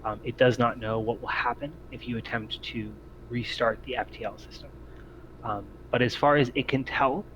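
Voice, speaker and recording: A man's voice: on the low side, a bit bright, muffled recording, slight background noise. A man acting as game master, improvising tabletop game narration in a hesitant, explanatory way.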